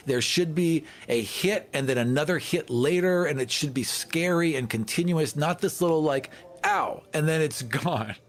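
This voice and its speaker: A man's voice: on the high side, moderate pace. A man playing a tabletop game, talking casually and emphatically with strong opinions.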